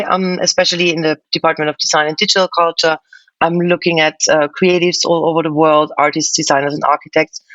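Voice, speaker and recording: A woman's voice: low voice, a bit bright, dry recording, dull audio. A woman from Austria, a museum curator, answering interview questions thoughtfully with frequent fillers and restarts. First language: German.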